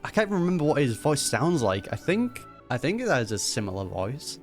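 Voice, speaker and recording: A gaming streamer talks in a casual, musing way. The voice sits at a middle pitch and sounds chatty. Soft game music runs underneath. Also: sing-song, normal speed.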